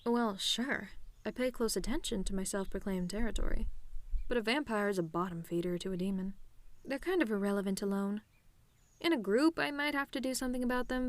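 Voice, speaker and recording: A woman's voice: mid-range voice, no echo, lightly acted. A woman playing a cocky, sarcastic tomboy demon with a casual, teasing delivery in an audio roleplay. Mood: dismissive, sardonic. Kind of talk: ASMR-style scripted roleplay monologue.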